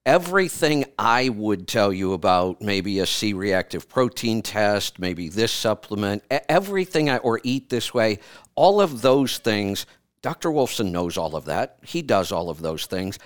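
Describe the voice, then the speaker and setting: A man's voice: fairly low pitch, animated, measured pace, clean tone, no room sound, studio quality. A man hosting a radio health show, giving a caller firm, direct advice and often repeating himself. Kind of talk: advising a caller on air. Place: radio studio.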